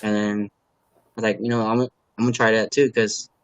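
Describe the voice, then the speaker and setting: A man from Los Angeles, a native Khmer speaker, talking casually as an interview guest in a halting, filler-heavy way. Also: fairly low pitch, level tone, no echo. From Los Angeles, USA. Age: adult parent. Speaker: guest, car builder and YouTuber.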